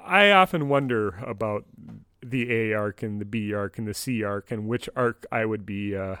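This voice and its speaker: A man's voice: lowish voice, normal speed, fairly bright tone, clean tone, no room sound. A man chatting casually as a podcast co-host, in a conversational way. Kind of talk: casual chat, discussion of a radio comedy.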